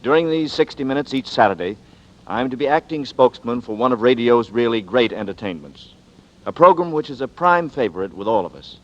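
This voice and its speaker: A man hosting a radio drama, giving a measured, conversational scripted introduction. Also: mid-range voice, lively intonation, a bit bright. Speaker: host and star. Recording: dull vintage radio recording.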